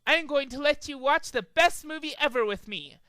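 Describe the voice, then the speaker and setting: A man's voice: very high voice, moderate pace, pushed and bright, no echo, voice pushed up. A man voicing a boastful, short-tempered female pony stage magician with theatrical announcing. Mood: defiant triumph.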